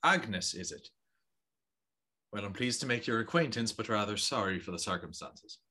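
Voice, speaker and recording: A kindly, authoritative gentleman of the house speaks in formal audio drama style. His voice is bright and forceful with a husky edge, rising and falling expressively. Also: lowish voice, lively intonation, normal speed, full character voice. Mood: courteous concern. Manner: polite, formal, commanding.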